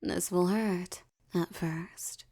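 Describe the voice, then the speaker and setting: Woman acting a slow, low, dominant vampire seductress. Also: steady tone, mellow. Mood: teasing, commanding. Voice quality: breathy, often dropping toward a whisper. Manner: slow, teasing, commanding, condescending. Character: dominant, possessive, seductive wealthy female vampire. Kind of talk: intimate roleplay audio acting.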